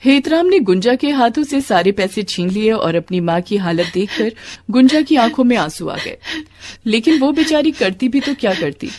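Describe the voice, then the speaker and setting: Higher-pitched woman storyteller. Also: expressive, normal speed, a little mellow. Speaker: storyteller, narrator. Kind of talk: scripted narration of a devotional story.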